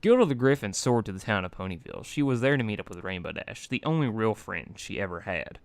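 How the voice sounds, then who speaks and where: A man's voice: lowish voice, sing-song, quick pace, creaky, dry recording. Man narrating a story, dry sound. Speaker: fan fiction reader. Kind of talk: animated amateur story narration.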